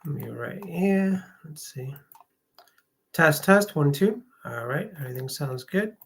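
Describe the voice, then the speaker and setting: A person hosting a casual read-along livestream, talking in a halting, hesitant way. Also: medium pitch, animated, gravelly. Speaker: host of a read-along stream.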